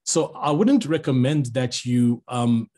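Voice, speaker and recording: Middle-aged male voice-over pro, mid-pitched, a little husky, relaxed and chatty, online class over a video call. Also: slow pace. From Zambia. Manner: relaxed, chatty, jokes aside to the audience. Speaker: voice-over artist teaching a master class. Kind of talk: online master class talk.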